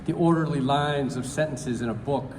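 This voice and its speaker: A man at a live event, reading poetry slowly and deliberately, with halting remarks full of fillers in between. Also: medium pitch. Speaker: poetry reader. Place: large event hall. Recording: roomy hall with background noise.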